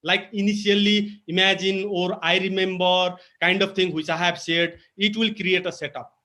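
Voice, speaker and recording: A man's voice: sits high, fairly even intonation, normal speed, pushed and bright. A young man with a Nepali accent teaching public speaking in an online webinar, explaining each point emphatically and repeating it. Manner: emphatic, repetitive teaching delivery with code-switching. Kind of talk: webinar lecture. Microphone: video call.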